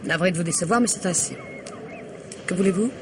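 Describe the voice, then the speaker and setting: An actress voices a reserved woman who keeps her composure in her own house, speaking in a calm, dry way in a vintage radio play. Her lines stay measured and guarded. Faint hiss sits under the old recording. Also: on the low side, lightly acted. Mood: cool. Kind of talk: vintage radio drama acting.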